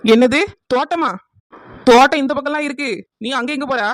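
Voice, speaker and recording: A woman's voice: high voice, no room sound, natural voice. A woman playing a nosy, sarcastic young village wife in a cartoon comedy, with a confrontational manner and a southern Tamil Nadu accent. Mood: suspicious, confronting. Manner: brisk, confrontational, teasing.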